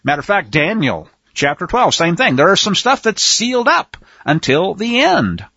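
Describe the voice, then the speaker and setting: A man's voice: high voice, wildly swooping pitch, normal speed, bright, forceful tone, breathy edge, dry recording, dull audio. A man teaching from the Bible in a folksy, emphatic way, with sarcastic asides and frequent restarts. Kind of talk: folksy scripture commentary.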